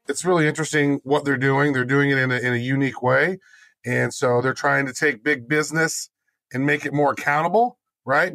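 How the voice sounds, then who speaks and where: A man co-hosting a podcast with a hoarse voice, rambling casually with lots of fillers. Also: medium pitch, a bit bright, dry recording. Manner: rambling, filler-heavy, laid-back. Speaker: podcast co-host.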